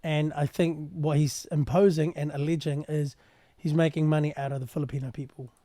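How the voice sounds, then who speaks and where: A man translating and explaining a news clip in a casual, halting way with many pauses. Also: on the high side, fairly even intonation, mellow, dry recording, studio quality. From New Zealand. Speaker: translator and commentator.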